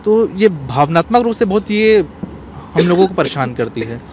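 A man answers interview questions seriously in a somewhat high voice. He explains in measured, short phrases. The handheld mic picks up faint outdoor noise around him. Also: big pitch swings, a little mellow. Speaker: interview guest, cartoonist. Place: outdoors in an open courtyard. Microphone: handheld small microphone.

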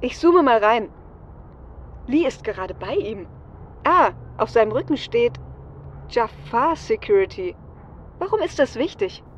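A woman's voice: on the high side, animated, measured pace, pauses often. A woman playing a flippant female hacker on a heist team in an audio drama, speaking casually. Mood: focused, flippant. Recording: dull, noisy audio.

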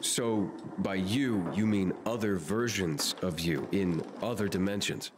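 A man's voice: sits low, measured pace. A man voicing a wry, sceptical young adventurer in a video game, with a casual, dry delivery. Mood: puzzled. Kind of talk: video game cutscene acting. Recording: clearly noisy game ambience behind.

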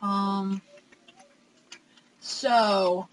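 A young man hosting a console game let's play from his room, excitable and gasping, with hesitant fillers. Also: high-pitched, drawn-out words, a little hiss or hum, low-quality recording. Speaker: gaming channel host. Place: home room with console screen.

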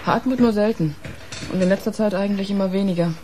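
A woman's voice: mid-range voice, fast talker, dark tone, slight background noise, natural voice. A woman playing a guarded, curt and sardonic bereaved sister in a radio crime drama. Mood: guarded, reserved.

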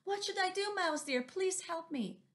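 Lady doing a squeaky nervous mama owl, stammers when scared. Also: expressive, moderate pace. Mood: frightened. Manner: pleading, stammering when frightened. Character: tiny anxious mother owl guarding her eggs.

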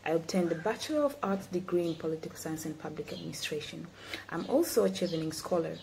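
A woman's voice: deep voice, expressive, gravelly, darkish tone, slight background noise. A young woman in her twenties from Tanzania delivers a prepared, earnest speech to a youth forum over a video call. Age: 20s. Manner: measured, earnest, read-from-notes delivery. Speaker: guest speaker. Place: indoor room. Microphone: laptop webcam, video call.

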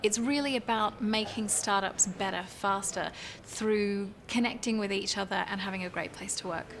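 A woman's voice: medium pitch, moderate pace. A young woman speaking as a company co-founder in an informal interview. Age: young adult. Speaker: co-founder and chief executive of a start-up workspace. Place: large open-plan office, indoors. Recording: busy open-office background noise.

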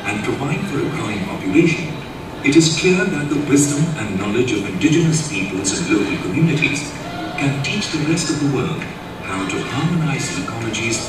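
A man reading formal, scripted documentary narration in a measured way. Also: mid-range voice. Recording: narration played over room speakers, echoey and distant.

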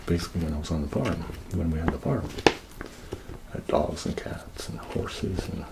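An older man speaking softly in a halting ASMR ramble, murmuring and half-whispering close to a tabletop microphone. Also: low-pitched, lively intonation. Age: older, around 60s. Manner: soft-spoken, halting murmur, often breathy half-whisper. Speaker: ASMR creator showing own sketches. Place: small indoor room, green backdrop. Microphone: tabletop Yeti microphone, close. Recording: noisy close-up recording with clicks.

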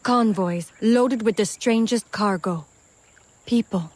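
A woman's voice: mid-range voice, takes it slowly. An actress playing a brash female swamp smuggler in a video game, with a breezy, folksy, familiar way of talking. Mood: conspiratorial. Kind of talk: scripted video game acting.

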